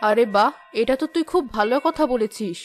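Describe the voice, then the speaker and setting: A woman's voice: a little high, expressive, a little fast, close to an everyday voice. A woman voicing a domineering, scheming mother-in-law in a cartoon, scolding and bossy. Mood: menacing, scheming. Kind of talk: cartoon voice acting. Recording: music behind the voice. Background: background music.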